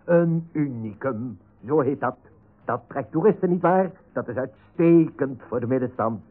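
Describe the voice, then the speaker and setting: An actor playing a wry, chatty storyteller, narrating a comic radio serial in a husky voice with playful asides. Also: male voice, mid-range voice, lively intonation, a little slow. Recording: tinny narrow-band radio recording.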